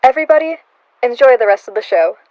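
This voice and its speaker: A woman's voice: fairly high pitch, animated, fast, dull audio, background noise. A woman announcing scripted radio drama credits.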